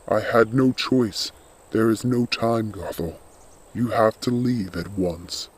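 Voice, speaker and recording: A man playing a loyal undead skeletal servant in a storybook, speaking in a grave, earnest, measured and protective way. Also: fairly low pitch, slightly rough. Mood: grave, urgent.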